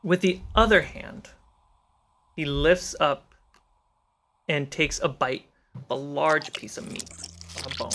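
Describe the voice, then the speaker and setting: A game master narrating a fantasy tabletop adventure in a measured way, pausing between short atmospheric phrases. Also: medium pitch, fairly bright tone, slight background noise. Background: cinematic background music.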